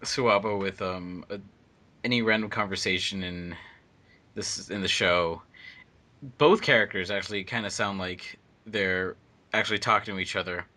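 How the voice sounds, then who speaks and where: A man's voice: low voice, fairly even intonation, moderate pace, bright, forceful tone. A man chatting on a fan commentary track, rambling with many fillers. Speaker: fandub editor and voice actor. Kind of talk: casual commentary chat.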